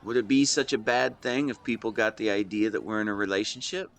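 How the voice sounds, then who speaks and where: A man's voice: lowish voice, steady tone, brisk, lightly acted. A man in his twenties playing a devoted, protective and secretly lovesick best friend in a conversational audio roleplay, earnest and playful with some hesitation. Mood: teasing, playful. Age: 20s. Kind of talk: intimate one-sided roleplay acting, conversational.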